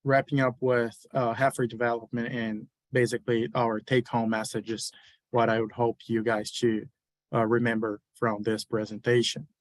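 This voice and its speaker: A man's voice: medium pitch, little pitch movement, normal speed, no echo, low-quality recording. A man from Brazil with a Brazilian accent, giving a webinar lecture as a university beef cattle specialist, with many hesitant fillers. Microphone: video call.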